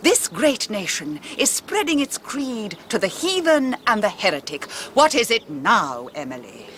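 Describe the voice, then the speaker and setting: A woman's voice: mid-range voice, sing-song, a little slow, fairly bright tone, a little hiss or hum. A woman playing a strict, pompous Victorian governess with an English accent in a radio drama. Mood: stern, exasperated. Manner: pompous, imperious. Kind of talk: 1990s British radio drama acting.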